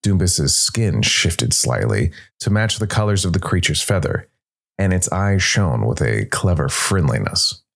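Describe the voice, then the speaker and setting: A man's voice: deep voice, big pitch swings, a little slow, vocal fry, fairly bright tone. A man narrating a scripted fantasy tale dramatically as a storyteller. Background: music.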